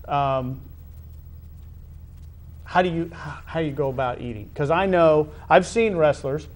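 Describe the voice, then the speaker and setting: A man hosting a conversational sports interview, feeling his way through questions in a halting manner and often rephrasing them. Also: fairly high pitch. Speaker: interviewer, show host.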